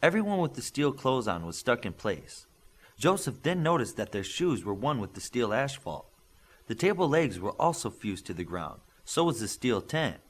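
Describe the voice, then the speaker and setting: Male narrator for a superhero novel, mid-range voice, animated intonation, expressive storytelling read. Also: mid-range voice. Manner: expressive storytelling, acting out dialogue. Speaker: audiobook narrator.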